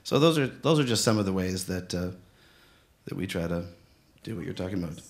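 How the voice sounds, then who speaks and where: A man's voice: sits low, lively intonation, fairly quick. A man speaking on a conference panel in a loose, rambling way, full of fillers. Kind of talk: casual conference discussion. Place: conference room in a museum.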